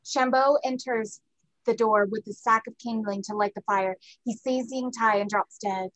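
A woman's voice: on the high side, steady tone, normal speed, rough recording. A woman reading stage directions as scripted narration for a play reading over a video call.

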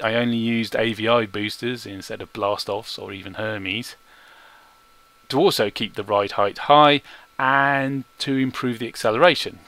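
A man narrates gameplay at a mid-range pitch, explaining things step by step. His delivery is measured and self-deprecating, and the close microphone gives a dry sound with very little room in it. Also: a bit bright, dry recording. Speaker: gaming video creator. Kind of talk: video game commentary over gameplay.

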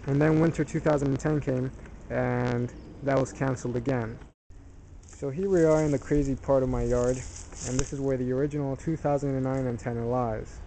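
A man presenting a hands-on DIY tutorial in an informal, halting way, correcting himself as he explains. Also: medium pitch, steady tone, gravelly, warm tone, a little hiss or hum, poor audio.

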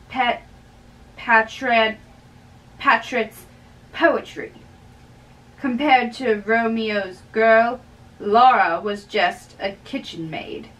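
A young woman giving an amateur dramatic reading of a play, halting and stumbling over words, recorded by a distant camera in a bedroom. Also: on the high side, fairly bright tone. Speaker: amateur reader. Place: small bedroom, indoors. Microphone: far camera. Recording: distant microphone in a room.

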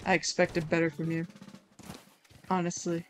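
A woman's voice: fairly low pitch, monotone, moderate pace. A woman co-hosting a gaming video, commenting casually with joking, mock-dramatic delivery. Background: music.

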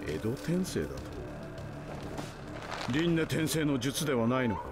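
A man's voice: medium pitch, very animated, measured pace. A man voicing an arrogant, legendary undead warrior villain in an anime game, commanding and disdainful. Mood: haughty, menacing. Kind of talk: Japanese anime game voice acting. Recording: loud background music bed.

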